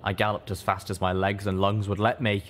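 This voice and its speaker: A man reading first-person fiction as an audiobook narrator, with measured, dramatic storytelling. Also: on the low side, little pitch movement, a little hiss or hum.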